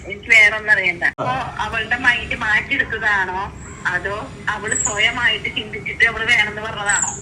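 A woman's voice: medium pitch, fairly even intonation, a little fast. Questioning Kerala woman on noisy call. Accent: Kerala Malayalam. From Kerala, India. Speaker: relative receiving a prank call. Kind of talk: casual phone conversation. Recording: phone call with clear background noise.

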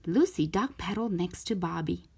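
A woman narrating a children's story aloud in an expressive, careful storytelling manner, as a storytime host. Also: lowish voice, moderate pace, vocal fry, slightly rough. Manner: animated, careful storytelling delivery.

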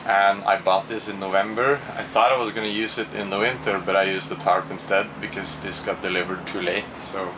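A man's voice: fairly low pitch, brisk, hardly any pauses. A young man talks casually and informally into a handheld camera, thinking aloud as he vlogs aboard a small sailboat. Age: young adult. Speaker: sailing vlogger. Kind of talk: casual vlog commentary. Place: small sailboat cockpit and cabin. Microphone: handheld camera at arm's length. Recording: noisy on-board camera audio, steady hum.

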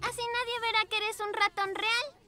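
A woman's voice: very high voice, little pitch movement, moderate pace. A woman voicing a sweet, eager little girl pug puppy in a children's cartoon dub. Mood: sweet, confiding. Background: music.

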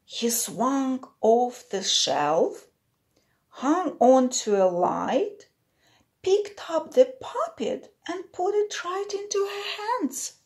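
A woman reads to young children as a teacher would, at a very unhurried pace. Her voice sits a little high. She phrases things simply and pauses the story to explain it. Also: animated, a little mellow. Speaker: teacher reading aloud. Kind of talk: children's picture-book read-aloud with explanatory asides.

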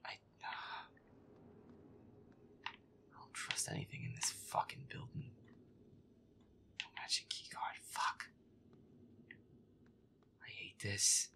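A man playing a feisty werewolf boyfriend who grumbles and swears sarcastically through a scary video game in a breathy, whispery voice. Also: slow, long pauses, bright, forceful tone. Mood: annoyed muttering. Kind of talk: gameplay roleplay, muttered reactions.

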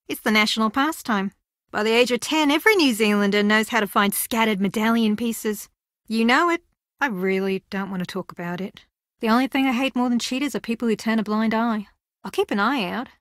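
A woman's voice: mid-range voice, dry recording, clean studio sound, natural voice. Wry, competitive young woman contestant played by an actress. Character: driven, blunt young female reality-show contestant, competitive, wry. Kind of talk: video game voice acting.

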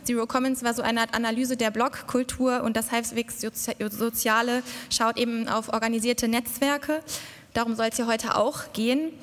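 A woman's voice: fairly high pitch, steady tone, normal speed, fairly bright tone. A middle-aged woman moderating an event, chattily introducing a speaker at a stand microphone on stage with frequent filler sounds. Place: large dark stage hall. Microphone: stand mic. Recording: long hall reverb.

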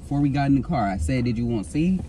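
A man's voice: medium pitch, lively intonation, fast talker, warm tone. A young man, driving and teasing his passenger in offhand casual banter. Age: young adult. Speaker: vlogger driving. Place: inside a car. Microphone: phone on dashboard. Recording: car noise behind voice.

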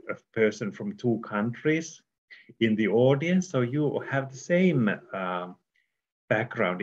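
A man with a fairly low voice moderates an online forum. He introduces the guest in hesitant, halting phrases, and the video call sound is slightly dull and lo-fi.

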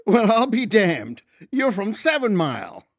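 Gravelly, animated young cop played by a man. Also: sits high, a little slow, no echo, studio quality, full character voice. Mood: brash. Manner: wry, colloquial, probing. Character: young, wry, underpaid male highway cop on the take. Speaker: voice actor in an audiobook. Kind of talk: dramatized audiobook dialogue.

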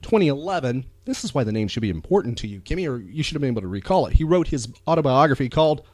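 A man's voice: mid-range voice, very animated, racing, darkish tone, no echo. A man hosting a chatty pop culture podcast, talking with enthusiasm and emphasis, often repeating phrases for effect. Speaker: podcast host.

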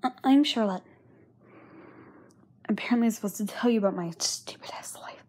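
A young woman playing a frightened, self-doubting young singer in a halting diary monologue, often dropping to a whisper. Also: fairly high pitch, a little mellow, husky. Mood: bitter, sarcastic. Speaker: amateur voice actor. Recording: noisy makeshift amateur microphone recording.

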